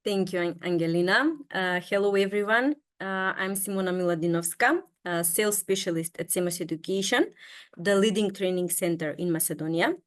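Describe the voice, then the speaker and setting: A woman introducing a webinar as a sales specialist over a video call, steady but with many filler sounds. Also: on the low side, fairly quick.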